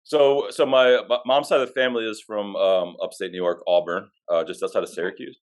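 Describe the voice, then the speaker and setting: A man with a very deep voice talks in an easygoing, rambling way, full of small fillers. He answers casual podcast questions about himself from his office. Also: steady tone, brisk. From Pennsylvania. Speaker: athletic director.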